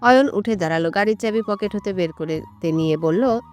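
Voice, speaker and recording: A woman's voice: mid-range voice, brisk, darkish tone. A woman reading a scripted romantic story as its narrator.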